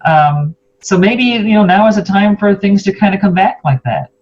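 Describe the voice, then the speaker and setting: Podcast-style host: woman, informal and musing, conversational interviewer, slightly muffled low-fidelity sound. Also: expressive, normal speed. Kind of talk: casual interview chat. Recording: dull lo-fi sound.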